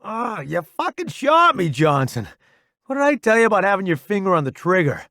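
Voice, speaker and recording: Man as a gruff determined male soldier, blunt, forceful, protective, animated delivery, dry audiobook sound. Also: a little high, normal speed, no echo, pitched above the performer's own voice. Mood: exasperated.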